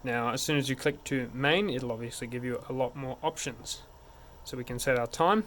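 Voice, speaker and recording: A man's voice: medium pitch, moderate pace, a bit bright. A man narrating a hands-on technical tutorial in an informal, step-by-step way. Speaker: tech support instructor. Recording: clear background noise.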